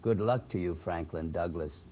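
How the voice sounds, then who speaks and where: A man playing an older gentleman spirit in a horror audio drama, formal, cryptic and theatrically unhurried. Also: deep voice, lightly acted. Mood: cryptic, grave.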